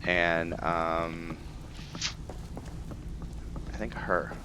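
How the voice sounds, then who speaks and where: A man with a low voice talks slowly through a roleplay game, casually thinking aloud and pausing to find his words. Faint game ambience sits behind him. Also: little pitch movement, background noise. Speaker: gaming video host. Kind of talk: casual roleplay gameplay commentary.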